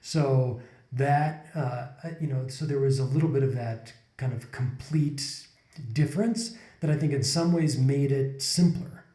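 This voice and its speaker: A man's voice: medium pitch, a little slow, warm tone, echoey room. A man in his forties, co-hosting a podcast in casual conversation, reflective and rambling, often restarting his sentences. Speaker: podcast co-host. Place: indoor room, sitting on a couch. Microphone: desk condenser mic in front of couple.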